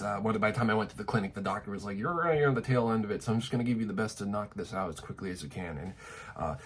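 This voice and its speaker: A man's voice: on the low side, a little hiss or hum. A man vlogging candidly, a bit stuffy from a cold, talking frankly with lots of swearing and restarts. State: stuffy, getting over a cold. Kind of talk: candid personal vlog rant. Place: apartment in Tokyo.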